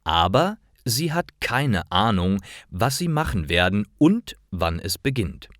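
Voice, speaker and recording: A man reading simple stories for language learners as a scripted narration, in careful, clearly separated short phrases. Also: on the low side, big pitch swings, takes it slowly, a bit bright, no echo, studio quality.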